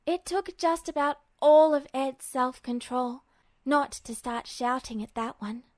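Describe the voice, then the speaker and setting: Female fiction narrator, high-pitched, expressive scripted read, very dry sound. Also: unhurried, no room sound.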